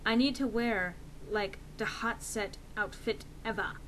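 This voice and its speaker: A woman's voice: mid-range voice, measured pace, fairly bright tone, lightly acted. A woman voicing a melodramatic, easily outraged teenage goth girl in a dramatic story reading, gushing and spelling out slang initials. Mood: excited. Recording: noisy amateur home recording.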